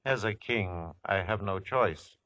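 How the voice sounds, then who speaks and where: A man's voice: lowish voice, slow, fairly bright tone, highs cut off. An actor playing an imperious, scornful ancient king, speaking in a stately and formal way in a 1950s radio drama. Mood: measured, tender. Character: imperious, scornful middle-aged Philistine king.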